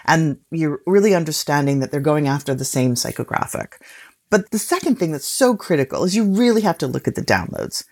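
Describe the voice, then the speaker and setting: A woman's voice: deep voice, big pitch swings, a little fast, a little mellow. A woman speaking as an expert guest in a conversational interview, explaining things and often checking in with short rhetorical questions. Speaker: podcast industry guest expert.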